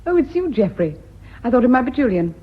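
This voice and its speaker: Direct British woman, highish voice. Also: sing-song, quick pace. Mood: impatient, puzzled. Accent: British English. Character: impatient, concerned woman close to a company man. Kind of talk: British radio drama acting. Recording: muffled, noisy old radio recording.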